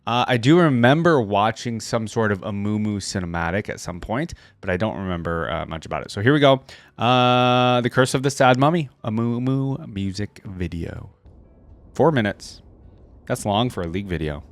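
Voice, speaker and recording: A man's voice: on the low side, normal speed, no echo. Man reacting casually, thinking aloud. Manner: musing, thinking aloud in short remarks. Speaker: reaction video host. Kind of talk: casual off-the-cuff reaction commentary.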